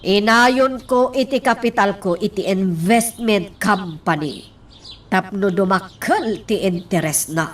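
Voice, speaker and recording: An actress playing a headstrong, defiant wife in a radio drama, speaking emphatically and deliberately. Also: female voice, mid-range voice, distant mic. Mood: firm. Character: headstrong, defiant wife insisting on her own way.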